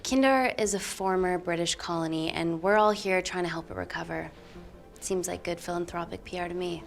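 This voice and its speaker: A composed female scientist with a fairly low, articulate voice. She speaks earnestly, as in a film drama, with music behind her. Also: fairly even intonation, fairly quick, vocal fry. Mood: poised, formal. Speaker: scientist presenting to investors. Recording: film soundtrack with background music.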